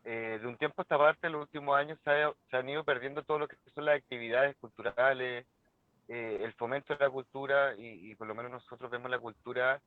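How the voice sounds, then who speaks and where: A man speaks hesitantly on a panel, pausing often and leaning on fillers. His voice comes through a tinny, phone-like line with a completely dry sound. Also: mid-range voice, steady tone, no echo. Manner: hesitant, halting, many fillers. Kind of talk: panel discussion.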